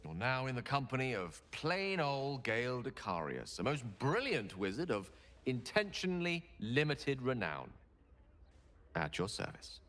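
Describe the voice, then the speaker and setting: An actor playing a tender, brilliant wizard in a video game, speaking in an eloquent, breathy, intimate and hesitant way. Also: male voice, medium pitch, fairly bright tone. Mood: playful, formal. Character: brilliant, tender, wordy male wizard in love.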